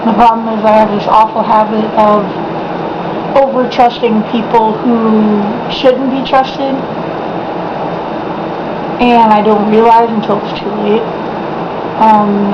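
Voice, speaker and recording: A woman's voice: fairly high pitch, dull audio, very noisy. Woman with a strained, worn-out voice. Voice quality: strained, damaged voice. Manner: rambling, frequent fillers, frank and profane. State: voice weakened after illness. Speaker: vlogger. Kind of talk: casual video diary monologue.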